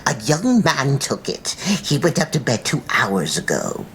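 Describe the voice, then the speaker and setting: A woman's voice: rumbling low, big pitch swings, unhurried, a little hiss or hum, full character voice. A woman voicing gossipy, deferential housekeepers with a folksy manner in a dramatized story reading. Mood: gossipy, conspiratorial. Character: Irish landlady housekeepers, gossiping, businesslike, flattering older women.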